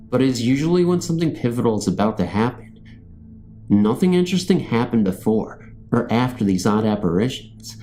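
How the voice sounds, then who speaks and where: A man's voice: medium pitch, dark tone. A man reading a scripted first-person ghost story as a narrator. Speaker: narrator of true ghost stories.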